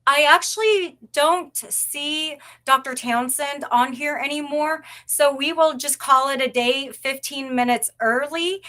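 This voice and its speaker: A woman whose first language is Tagalog, giving an earnest, measured presentation on a video call. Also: sits high, pushed and bright. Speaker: presenter of a university session. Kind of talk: online presentation, warm and formal.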